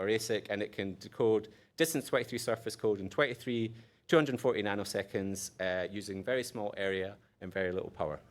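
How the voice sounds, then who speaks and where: A man with an Edinburgh Scottish accent giving a technical conference talk. He often restarts phrases and checks in with the audience. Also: lowish voice. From Scotland. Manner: animated, restarts phrases, checks in with "right?" and "okay?". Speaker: conference speaker.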